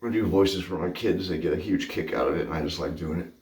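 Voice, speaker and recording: A man's voice: low-pitched, fast, a little mellow. A man in his thirties chatting casually to camera in a small home bathroom, goofy and hyper, often correcting himself. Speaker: shaving vlogger. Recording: echoey bathroom.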